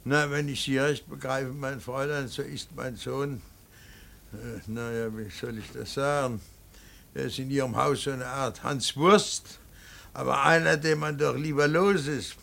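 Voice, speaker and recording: A brooding, stern father from a classic radio play. The voice is creaky and gravelly at a medium pitch. He speaks haltingly and with weight, like someone examining a person he is responsible for. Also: fairly even intonation, a bit bright, slight background noise. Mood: polite, probing. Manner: halting, probing, weighty delivery with rhetorical questions. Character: stern, brooding, anguished father of a wayward grown son.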